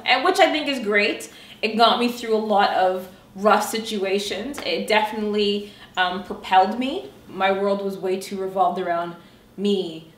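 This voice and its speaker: A woman's voice: medium pitch, echoey room. A woman who coaches women in empowerment, speaking earnestly and reflectively in a documentary interview and building emphasis through repetition.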